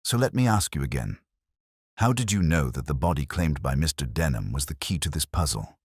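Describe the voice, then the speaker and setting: A man's voice: extremely low pitch, lively intonation, a little fast, breathy edge, no room sound, clean studio sound, full character voice. A man voicing a cool, relentless detective in a storybook mystery, questioning in a level, precise manner. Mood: level, probing. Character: cool, incisive male detective, relentless and commanding. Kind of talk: audiobook detective story dialogue.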